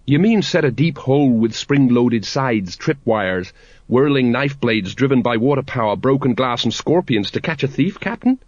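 A man's voice: a little high, animated, a little slow, few pauses, fairly bright tone, husky, dry recording, highs cut off, voice pushed up, heavily acted. A man voicing a timid, complaining police sergeant in an audiobook, flustered and doubtful.

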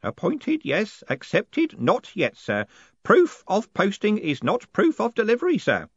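Elderly storybook postman, high hoarse voice, deferential, conspiratorial, eager, very swoopy delivery. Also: wildly swooping pitch, a little slow, fairly bright tone, no room sound, highs cut off, full character voice. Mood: solemnly helpful. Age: older. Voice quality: hoarse whispering. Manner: servile, punctuating speech with "sir".

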